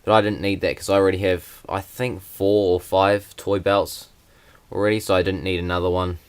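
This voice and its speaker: A man's voice: sits low, little pitch movement, normal speed, clear, resonant tone. A young man, talking hesitantly with many fillers in a casual vlog. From New Zealand. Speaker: vlogger.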